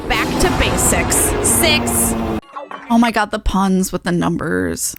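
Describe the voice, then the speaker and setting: A woman voicing a dry, low-key, unimpressed young character in a game, speaking in a deadpan way. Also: slow pace, gravelly, loud music behind the voice.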